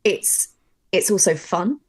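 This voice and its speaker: A woman's voice: mid-range voice, expressive, slow pace, husky, no echo. A woman writer chatting informally and encouragingly in a conversational workshop discussion about her craft. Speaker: audio drama writer giving a workshop.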